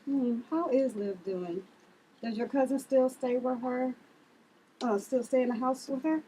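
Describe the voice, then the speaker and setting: A woman's voice: high-pitched, fairly even intonation, unhurried, dark tone, breathy edge, rough recording. A woman reading the part of a blunt, sardonic sister with a dry, dismissive manner in a family drama table read.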